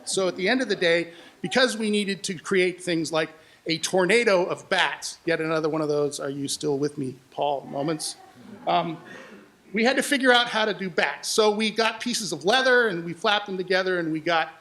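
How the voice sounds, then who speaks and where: A man's voice: high voice, normal speed, pushed and bright. A man speaking as an audio producer, telling informal behind-the-scenes stories in a live presentation. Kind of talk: informal storytelling to an audience.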